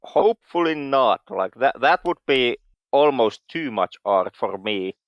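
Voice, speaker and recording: A man from Finland, co-hosting a podcast with dry, deadpan humor. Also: medium pitch, measured pace, dry recording, lo-fi sound. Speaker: podcast co-host. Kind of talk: casual chat.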